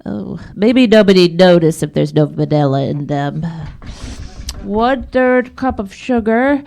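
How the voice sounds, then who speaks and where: A woman's voice: medium pitch, animated, a little slow, gravelly, mellow. A woman playing an earnest, tearful little girl in a live old-time radio drama. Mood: earnest, concentrating. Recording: live stage radio play.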